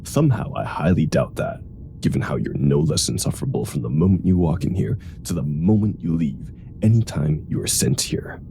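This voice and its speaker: A woman's voice: extremely low pitch, lively intonation, fairly quick, gravelly, mellow, soft background music, exaggerated voice. A woman playing an exasperated, stubborn superhero in comedic audio roleplay, dry, grumbling and sarcastic. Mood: grumbling threat. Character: exasperated, sardonic female superhero, stubborn hospital patient.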